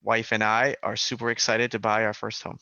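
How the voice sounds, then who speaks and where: Young male career adviser from Hawaii, relaxed and halting, a fairly low voice with a forceful edge, dull lo-fi line. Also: gravelly, no echo. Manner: relaxed, hesitant, many ums and restarts. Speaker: career adviser running a mock interview. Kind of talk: casual mock interview role play. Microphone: video call. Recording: dull lo-fi sound, dry.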